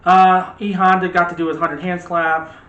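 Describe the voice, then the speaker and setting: A man's voice: sits high, little pitch movement, moderate pace, dull audio. Male host for casual movie talk, rambling and self-correcting, recorded in a reverberant room. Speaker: host of a film discussion show. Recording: roomy echo with faint background noise.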